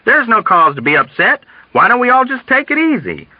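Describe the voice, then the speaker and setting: A man's voice: extremely high pitch, wildly swooping pitch, a little fast, no echo, lo-fi sound, voice pushed up, heavily acted. A man voicing a short, affable, patriotic Texan who placates people uncertainly in an audiobook story. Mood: uncertainly soothing.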